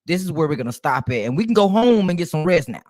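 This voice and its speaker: Lively, teasing woman podcast host. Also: lowish voice, fast, no room sound, clean studio sound. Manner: playful, teasing, laughs. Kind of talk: casual interview questions.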